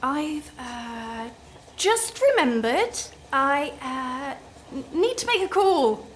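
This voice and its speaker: An actress playing a guarded woman writer in a radio play, halting, evasive and strained in her politeness. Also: high voice, sing-song, a little hiss or hum, heavily acted. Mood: awkward excuses. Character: awkward, guarded woman writer avoiding a chatty passenger. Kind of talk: naturalistic radio play acting.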